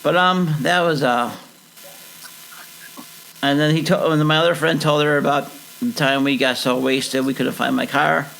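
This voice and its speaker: A man's voice: a little high, steady tone, normal speed, creaky, a bit bright, a little hiss or hum, poor audio. A man with a Midwestern accent, co-hosting a casual chat, hesitant and self-deprecating. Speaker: co-host.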